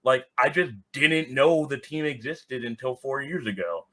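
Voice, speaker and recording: A man's voice: mid-range voice, unhurried, bright, forceful tone. A man chatting casually and bluntly as a podcast guest, peppering his talk with "like" and the odd swear word. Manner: blunt, swearing, heavy use of "like". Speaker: podcast guest, soccer supporters group organizer. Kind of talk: casual, candid podcast conversation.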